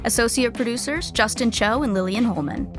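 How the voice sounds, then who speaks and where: A woman's voice: fairly high pitch, expressive, a little fast, lightly acted. A woman narrating a scripted audio sitcom as a wry storyteller who comments on the action. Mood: plain credits. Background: music.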